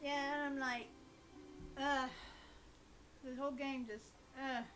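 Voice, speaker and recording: A woman's voice: high-pitched, little pitch movement, slow, a bit bright, faint music behind, rough recording. A woman making casual, playful side comments as a guest on a gaming video, teasing the host. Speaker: gamer's wife as guest.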